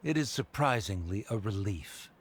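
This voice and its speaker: A man's voice: on the low side, lively intonation, moderate pace, breathy edge. A man playing a haughty, aloof scholar in a video game, with a cool, formal, condescending delivery. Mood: disdainful.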